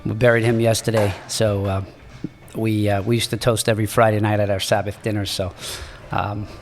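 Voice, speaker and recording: A man in his sixties from Morocco, a native French speaker, telling his life story as a podcast guest in a halting, earnest way. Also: lowish voice, little pitch movement, in a large hall, faint music behind. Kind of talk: reflective storytelling in an interview.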